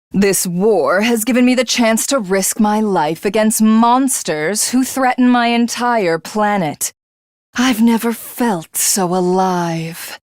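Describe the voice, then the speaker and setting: A haughty alien warrior woman from a video game, who hungers for godhood. She speaks slowly and grandly in a theatrical villain's manner, recorded very dry and close. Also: medium pitch, no room sound. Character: arrogant, power-hungry alien warrior woman craving godhood. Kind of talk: video game character voice acting.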